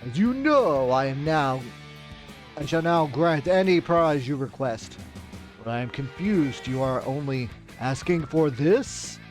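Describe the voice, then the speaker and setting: A grandiose game villain as put on by a male streamer, fairly high-voiced, stretching words for menace. The delivery is theatrical and melodramatic, with music behind it. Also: lively intonation. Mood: menacing, incredulous. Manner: drawn-out, melodramatic. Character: grandiose, menacing male contest master reading his decree. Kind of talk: theatrical read-aloud of game ending text.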